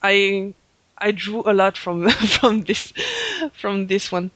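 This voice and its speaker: A man's voice: sits high, level tone, slow, fairly bright tone, no echo. A man hosting a fan podcast, talking in a hesitant way with many fillers and frequent laughs. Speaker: podcast host. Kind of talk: casual chat.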